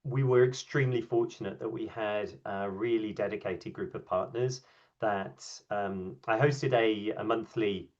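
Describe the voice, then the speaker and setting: A man speaking on a video call as a workshop speaker, answering questions in a relaxed way with many ums and restarts. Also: lowish voice, unhurried. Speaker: invited speaker at an online workshop. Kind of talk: conversational talk and answers. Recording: dull, lo-fi video call audio.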